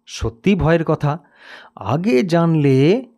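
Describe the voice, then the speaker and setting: An anxious male traveller from an audio storybook, performed by a man narrator. His pitch sits fairly high and moves around a great deal, and his manner is polite but touchy, ready to protest. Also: lively intonation, takes it slowly, mellow. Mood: uneasy. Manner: polite turning huffy and protesting. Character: indignant, anxious male night-time cart passenger telling his tale. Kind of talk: dramatised audio storybook character.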